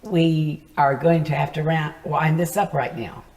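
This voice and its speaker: Male host, gravelly edge, slightly higher pitch, friendly short prompts. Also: measured pace, a little mellow. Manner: brief friendly prompts and follow-up questions. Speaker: interview host. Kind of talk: casual interview chat.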